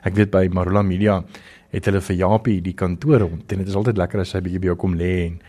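A man's voice: sits low, steady tone, fast talker, dark tone. A man with a South African accent hosting a radio talk show in a studio, chatty and rambling with many fillers.

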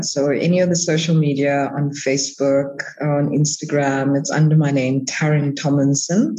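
A woman's voice: low-pitched, warm tone. A woman chatting informally and encouragingly as a podcast guest, on slightly lo-fi audio. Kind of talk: casual conversational interview.

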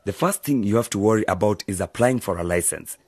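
A husky male voice plays a sensible older brother in a radio drama. He is patient and measured, laying out advice point by point. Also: fairly low pitch, a little mellow, dry recording. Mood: serious, explanatory. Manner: measured, explanatory.

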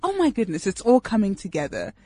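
A woman's voice: mid-range voice, huge pitch swings, a little fast, warm tone, slightly rough, soft background music. A woman hosting a radio show, chatting in a bubbly, casual way. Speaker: radio host. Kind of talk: casual radio chat and presenting. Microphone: studio microphone.

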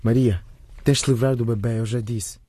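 A man's voice: mid-range voice, steady tone, brisk, natural voice. A man playing an evasive, worried teenage schoolboy in a colloquial radio drama. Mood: cold. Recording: faint background noise.

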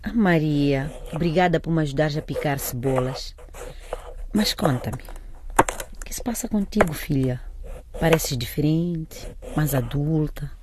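A woman's voice: sits low, lively intonation, a little slow, very noisy. An actress playing a caring, anxious mother in a radio drama, coaxing and questioning. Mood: gentle, concerned.

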